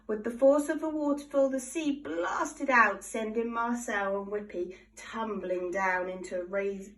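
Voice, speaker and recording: A woman reads a children's picture book aloud with animated, expressive storytelling. Her voice sits on the higher side. She is recorded from a little distance from the microphone. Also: unhurried. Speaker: storybook reader.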